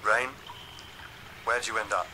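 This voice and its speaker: A man's voice: on the low side, little pitch movement, takes it slowly, noisy background. A man playing an earnest field handler who explains and advises in a video game cutscene. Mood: concerned.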